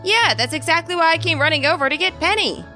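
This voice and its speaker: A woman puts on a friendly, boastful teenage boy from a game. He sounds eager and chummy, with a bright, lively, swooping voice over faint music. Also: very high-pitched, fast, pitched above the performer's own voice, full character voice. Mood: eager, excited.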